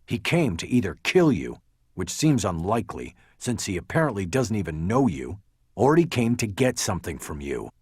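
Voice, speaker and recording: A man voicing a gruff, angry, confrontational police detective in an audiobook crime novel. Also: on the low side, very animated, moderate pace, no echo, studio quality. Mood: tense, insistent. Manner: barking, confrontational, insistent.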